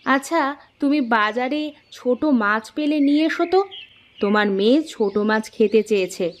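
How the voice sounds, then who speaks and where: Woman plays a village mum and wife for a cartoon, higher-pitched, naggy, down-to-earth. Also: expressive, moderate pace, natural voice. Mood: practical. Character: nagging, practical village wife and mother. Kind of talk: cartoon moral-story dialogue.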